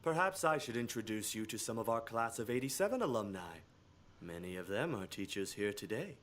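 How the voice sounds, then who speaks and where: A woman voicing a patient, preachy high school counselor in a visual novel game, earnest and lecturing. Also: big pitch swings, brisk.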